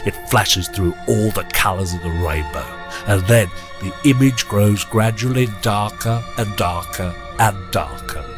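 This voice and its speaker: Male actor as grave radio-thriller storyteller; low, unhurried and measured delivery over music. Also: natural voice. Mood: dramatic, suspenseful. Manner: measured, deliberate, formal. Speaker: narrator. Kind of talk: dramatic scripted radio narration. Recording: loud music under voice.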